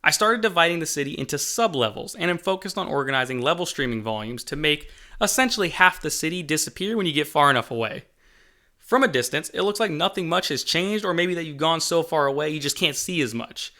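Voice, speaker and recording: Bright, forceful higher-pitched man narrating. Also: expressive, fairly quick. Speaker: game developer and channel host. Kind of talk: scripted video narration, development update.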